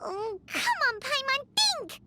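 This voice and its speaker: A woman's voice: very high-pitched, sing-song, takes it slowly, pushed and bright, slight background noise, heavily acted. A woman voicing a tiny, anxious female companion sprite who talks about herself in the third person. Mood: excitable.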